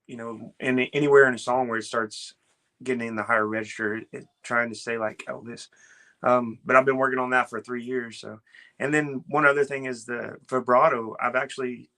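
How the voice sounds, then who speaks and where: A man with a smooth voice, a guest on a video call, talking earnestly and easily with lots of "uh" and "you know". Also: mid-range voice, sharp tone. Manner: earnest and easygoing, with many fillers like "uh" and "you know". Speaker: guest, Elvis tribute artist. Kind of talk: casual interview chat.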